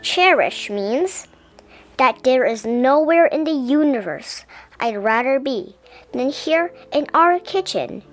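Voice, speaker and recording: A woman reading a children's picture book aloud in a gentle, deliberate, expressive way. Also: sits high, faint music behind. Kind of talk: children's storybook read-aloud.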